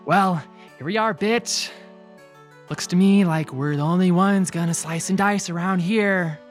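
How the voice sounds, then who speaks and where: Audiobook catfish puppet played by a woman: sadistic, eager sidekick, swaggering, slow delivery over faint music. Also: fairly low pitch, voice pushed down, close to an everyday voice. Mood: cocky menace. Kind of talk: audiobook character voice.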